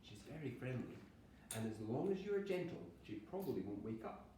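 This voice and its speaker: Man voicing a gentle storybook grandmother, friendly, coaxing, lightly raised pitch, distant mic, echoey classroom. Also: very animated, fairly quick, slight background noise, close to an everyday voice. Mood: gentle reassurance. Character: kindly, reassuring grandmother from a children's story.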